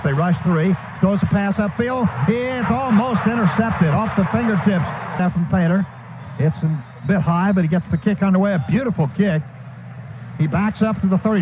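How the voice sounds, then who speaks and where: An American man doing live radio play-by-play of a football game, describing the action in short, vivid bursts. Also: sits high. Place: stadium broadcast booth. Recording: dull, noisy archived radio broadcast.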